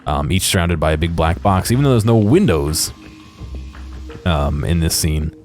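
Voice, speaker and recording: A man giving casual, unscripted video game commentary in a hesitant, halting way. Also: sits low, animated, a little mellow, soft background music.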